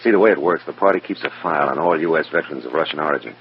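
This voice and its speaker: An actor playing a matter-of-fact, persuasive FBI agent with a plain-spoken, folksy manner in an old radio drama. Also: male voice, very deep voice, rapid-fire. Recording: dull, faintly noisy old radio recording.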